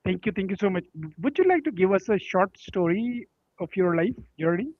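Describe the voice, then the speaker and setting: A man's voice: sits high, lively intonation, moderate pace, no room sound. Eager male host on a dull, dry line. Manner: eager, stumbles and repeats words. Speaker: show host. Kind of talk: interview.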